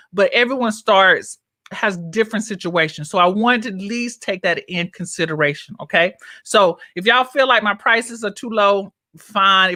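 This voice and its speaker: A woman's voice: medium pitch, sharp tone, no echo. A woman coach giving a casual live-streamed talk, chatting straight to her viewers and reading out their comments as she goes. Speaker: business coach. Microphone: own microphone, checked on her phone.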